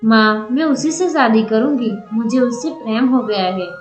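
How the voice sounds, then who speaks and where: Woman as a high-voiced, trusting young village woman. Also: normal speed, echoey room, over music, close to an everyday voice. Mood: happy. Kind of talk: Hindi cartoon story dialogue.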